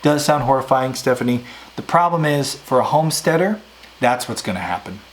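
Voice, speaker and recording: Hoarse, plain-spoken homesteading host. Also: male voice, mid-range voice, animated, a little fast. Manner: rambling, conversational, plain-spoken. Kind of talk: casual Q&A answering viewer questions.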